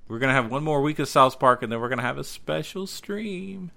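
A man hosting a podcast, chatting casually about gaming news in an excitable, slangy way, repeating words for emphasis. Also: medium pitch, brisk, gravelly. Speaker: podcast host and game streamer.

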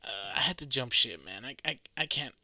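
A man chatting casually about video games with friends, animated, swearing freely and laughing often. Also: mid-range voice, moderate pace, no echo. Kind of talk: casual gaming chat.

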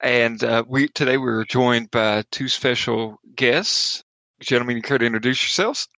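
A man's voice: mid-range voice, normal speed, sharp tone. A man hosting a podcast interview in a casual, conversational style. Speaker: podcast host.

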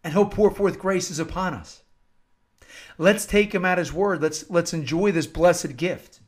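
High-voiced American man preaching earnestly. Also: measured pace. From United States. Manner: earnest, emphatic, repeats phrases for emphasis. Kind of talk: informal devotional talk with scripture-like readings.

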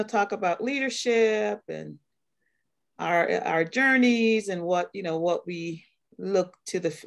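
A woman in her fifties from Guyana hosting a friendly panel conversation, talking in an unhurried way with a few hesitant restarts. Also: fairly low pitch, gravelly. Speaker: host.

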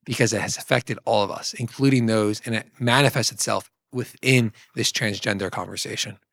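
A man's voice: lowish voice, fairly even intonation, fairly quick, vocal fry, a bit bright, dry recording. Emphatic man explaining, dry close sound. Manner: emphatic, explanatory, restates points. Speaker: co-host of a book discussion series.

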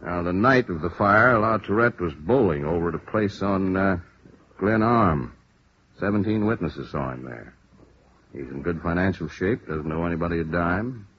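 An actor playing a businesslike American police lieutenant in a vintage radio drama, frank, firm, deliberate and emphatic. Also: male voice, sits low, animated, slightly rough. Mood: musing, businesslike. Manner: deliberate, emphatic, matter-of-fact. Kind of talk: 1950s radio drama acting. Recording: muffled, hissy old radio recording.

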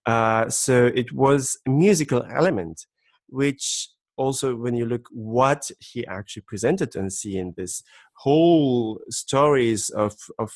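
A man talking as a guest on a podcast, explaining at length with many hesitant pauses and filler sounds. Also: mid-range voice, no room sound. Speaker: guest harpist. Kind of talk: casual podcast conversation.

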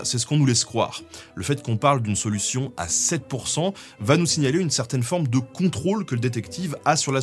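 A man with a French accent co-presenting history, explaining a script in a conversational way with frequent tag words. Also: mid-range voice. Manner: conversational, peppered with "hein" tags. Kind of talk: semi-scripted explanatory narration.

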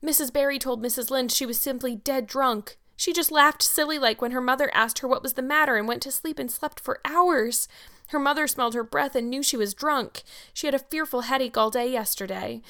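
A woman's voice: high voice, expressive, brisk, few pauses, fairly bright tone, pitched above the performer's own voice. A woman voicing an earnest, dramatic young girl who wails in flowery, tearful speech. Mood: distressed, indignant. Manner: melodramatic, flowery, wailing.

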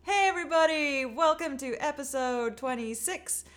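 A woman's voice: high-pitched, moderate pace. A woman in her forties co-hosting a podcast, bubbly and rambling, with lots of ums and likes. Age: 40s. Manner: bubbly, rambling, many fillers. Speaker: podcast co-host. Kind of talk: casual podcast chat.

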